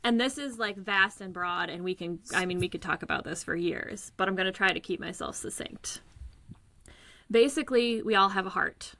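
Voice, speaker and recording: A woman's voice: mid-range voice, normal speed, creaky, sharp tone. A woman guest explaining her energy healing work over a call, earnest and searching for words. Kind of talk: casual explanatory talk. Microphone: remote call.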